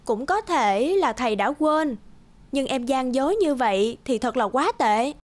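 A woman playing a caring but firm teenage big sister in a cartoon, with a youthful, expressive delivery. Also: high voice, a little slow. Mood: reproving. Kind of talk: cartoon dialogue.

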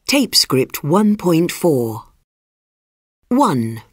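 Woman announcer, very slow, low, lively pitch, dry booth. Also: slightly rough, dry recording. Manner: slow, deliberate and carefully spoken. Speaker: textbook audio announcer. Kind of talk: scripted lesson announcements.

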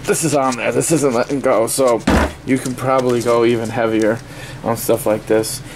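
A man giving a casual, halting, offhand unboxing review at a desk in a small indoor workspace. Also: mid-range voice. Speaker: product reviewer. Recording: steady noisy room ambience.

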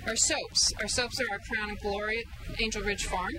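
A woman in her forties, a farm owner, talking plainly and warmly about her handmade products to a camera outdoors. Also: medium pitch, moderate pace, music in the background, rough recording. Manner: friendly, plain-spoken, explaining products. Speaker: farm owner and soap maker. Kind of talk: casual product talk to camera. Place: outdoor market tent with banner behind. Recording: outdoor ambient noise.

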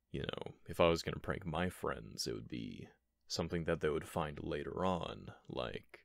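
A man playing a flirty, affectionate roommate in a soft-spoken, intimate ASMR roleplay, gently teasing and pausing often, close to the microphone. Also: deep voice, creaky, no room sound, heavily acted. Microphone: close microphone, dry sound.

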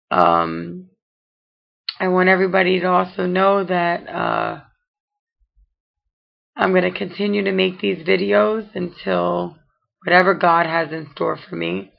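A tired middle-aged woman talks into her webcam in a deeply gravelly, raspy voice. She speaks very slowly, with long pauses and fillers, clearly in pain. The sound is dull and lo-fi. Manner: slow, weary talk with many pauses and fillers. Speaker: vlogger. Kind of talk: personal video diary. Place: dim room at home at night. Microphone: webcam close up.

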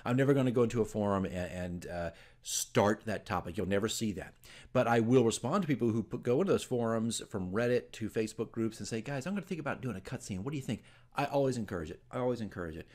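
A man's voice: lowish voice, fast talker, a little mellow. A man in his forties hosting a casual opinion vlog, talking emphatically and conversationally as a game master giving tips. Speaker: dungeon master giving tips as channel host. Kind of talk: casual opinion talk to camera. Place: small furnished room at home, indoors. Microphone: desk microphone in front of him.

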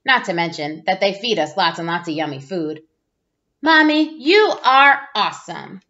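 A woman in her thirties reading a children's picture book aloud in an expressive, playful way, like a teacher. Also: medium pitch, moderate pace. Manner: expressive, playful exclamations and sound effects. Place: small room at home, indoors. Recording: dull lo-fi webcam audio.

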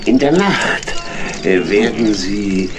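An actor playing a sly, menacing, imperious cat pirate captain in a children's radio play. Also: male voice, lowish voice, expressive, slow. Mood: sly, gloating. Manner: imperious, impatient, gloating. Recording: noisy old audio drama record.

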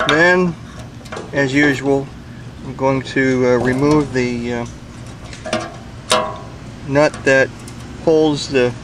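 A middle-aged man working as an HVAC technician, explaining a repair step by step in a plain, unhurried way with many pauses, recorded outdoors beside an air conditioning unit. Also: mid-range voice, background noise. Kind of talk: hands-on repair tutorial.

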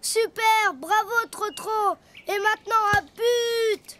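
A woman voicing a cheerful, curious little boy donkey in a cartoon, eager and lively. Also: very high voice, slow, slight background noise, heavily acted.

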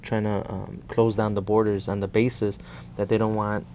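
A man in his thirties from El Salvador, discussing politics as an activist guest in halting, repetitive phrases. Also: fairly low pitch, little pitch movement, darkish tone, a little hiss or hum. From born in El Salvador. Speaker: guest, immigrant rights activist. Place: small indoor TV studio.

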